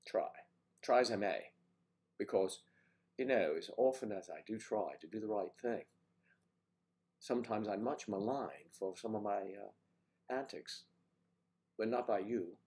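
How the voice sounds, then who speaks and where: This is an older man with a cold that has made his low voice even deeper and stuffier. He chats casually on his morning livestream, teasing viewers and greeting them by name. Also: measured pace, pauses often. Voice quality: deep voice. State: congested with a cold. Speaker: livestream host.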